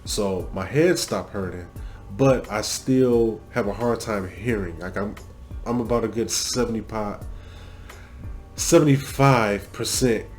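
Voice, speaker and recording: A man with a fairly low voice, talking to his audience in a loose, rambling way. He sounds stuffed up and congested from a cold. Faint music plays behind him. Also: measured pace, a little mellow. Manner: loose and rambling, with swearing and fillers. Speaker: reaction video host. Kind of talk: casual reaction commentary.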